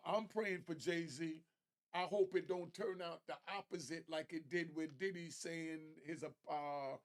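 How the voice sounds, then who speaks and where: A man's voice: on the high side, gravelly. A man hosting an online show, giving casual, opinionated commentary in conversational street slang.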